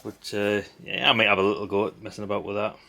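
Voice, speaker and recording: A man's voice: fairly low pitch, flat delivery, quick pace, vocal fry, bright, forceful tone. A middle-aged man, giving casual, unscripted commentary in a chatty, rambling, blunt way. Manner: chatty, rambling, blunt mild swearing, tag questions. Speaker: hobbyist video host. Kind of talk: casual unscripted commentary while sorting old parts.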